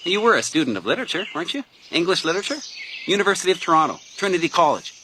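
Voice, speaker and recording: An actor playing an inquisitive, self-assured newspaper reporter, pressing and over-familiar, in a detective radio drama. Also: male voice, high voice, sing-song, very fast, bright, forceful tone, a little hiss or hum, heavily acted, rough recording. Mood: insistent, familiar.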